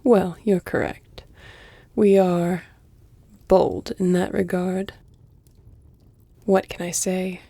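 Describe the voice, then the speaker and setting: Woman acting a centuries-old female vampire, audio roleplay, dark mellow tone, very close dry sound, measured, teasing, breathy near-whispers. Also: fairly low pitch, level tone, dry recording, full character voice. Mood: seductive, teasing. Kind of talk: intimate audio roleplay, scripted dramatic acting. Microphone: close, dry studio-style mic.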